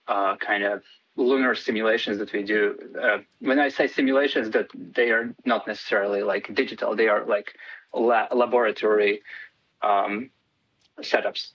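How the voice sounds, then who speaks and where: A man's voice: medium pitch, no echo, lo-fi sound. A man from Latvia, a PhD student guest on a radio interview, talking hesitantly with many fillers and restarts.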